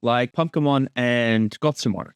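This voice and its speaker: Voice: medium pitch, measured pace, clean tone, no echo, studio quality. A person giving a casual creator's commentary on an episode, chatting informally. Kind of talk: casual director's commentary chat.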